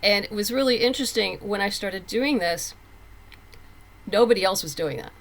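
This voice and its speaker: A woman's voice: mid-range voice, moderate pace, a bit bright. A woman talking conversationally as a writer being interviewed, telling stories and acting out quotes as she goes. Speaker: comic book writer and guest.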